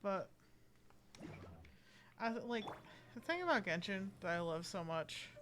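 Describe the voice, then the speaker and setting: A woman's voice: medium pitch, sing-song, a little slow, a bit bright, a little hiss or hum. A woman streaming a game live, chatting casually in a rambling, playfully dramatic way. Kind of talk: casual live gameplay commentary. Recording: game music behind the voice. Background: video game music.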